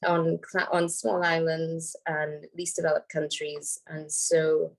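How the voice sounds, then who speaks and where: Lady w a cold, scratchy gravelly voice, lots of ums, talking over a video call. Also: deep voice, monotone, slow. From Trinidad and Tobago. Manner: thoughtful, many ums and restarts. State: hoarse from a cold. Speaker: panel speaker, environmental lawyer. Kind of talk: casual panel talk.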